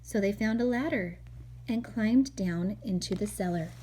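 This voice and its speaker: A woman's voice: medium pitch, moderate pace, darkish tone, slight background noise, rough recording. A woman reading a picture book aloud to a child as a mother, expressively, with chatty asides and questions.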